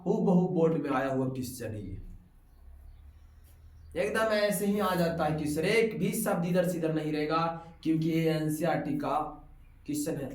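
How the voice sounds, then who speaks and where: A man's voice: a little high, moderate pace. A male maths teacher from Bihar taking a class, explaining step by step and constantly quizzing a pupil. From Bihar, India. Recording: echoey room.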